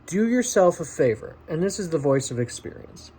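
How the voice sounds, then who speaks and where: A man's voice: a little high, sing-song, normal speed, warm tone, breathy edge, rough recording. A man talking casually and directly to the listener like a friend, in an encouraging, conversational motivational vlog style. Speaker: vlog host.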